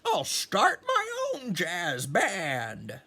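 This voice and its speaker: A man's voice: high-pitched, wildly swooping pitch, drawn-out words, bright, forceful tone, voice pushed up, heavily acted. A man voicing an eager storybook rooster musician for a children's read-aloud. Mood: excited. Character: eager cartoon rooster musician with a big idea.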